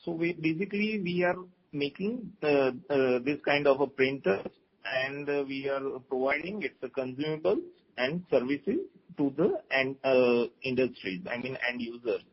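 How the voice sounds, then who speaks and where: A man with an Indian accent answering questions as a company executive on an earnings call, in a halting, hesitant way. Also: mid-range voice, slightly rough. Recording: dull lo-fi conference call audio.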